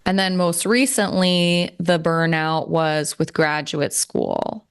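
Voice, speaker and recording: A woman's voice: low voice, sing-song, slow, clear, resonant tone. A young adult woman co-hosting a podcast, talking in an earnest, reflective way with many fillers. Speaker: podcast co-host. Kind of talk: casual chat.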